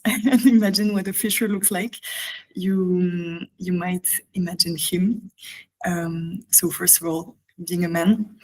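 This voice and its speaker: Low-pitched woman presenting slowly, evenly. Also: a little mellow, poor audio. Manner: hesitant, halting, self-deprecating. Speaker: researcher presenting an art residency project. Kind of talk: informal academic presentation, spoken off the cuff.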